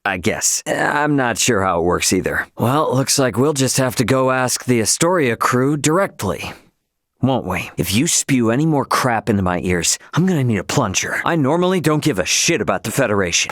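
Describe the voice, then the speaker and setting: Dry close recording, young starship captain, video game character, medium pitch, brash, cocky, blunt, slangy, hot-headed, loyal. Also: male voice, lively intonation, moderate pace, a bit bright, no echo. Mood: defiant, taunting.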